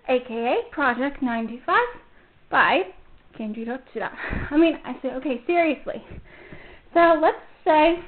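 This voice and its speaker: A woman hosting a casual solo fan podcast, talking in a gushing, enthusiastic fangirl manner. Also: high voice. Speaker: podcast host. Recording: muffled phone-like narrow-band sound.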